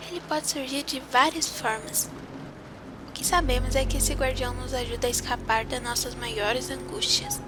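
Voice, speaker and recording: A woman playing a wistful, grieving young girl in an audio drama, speaking in a reflective, confiding way. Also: sits high.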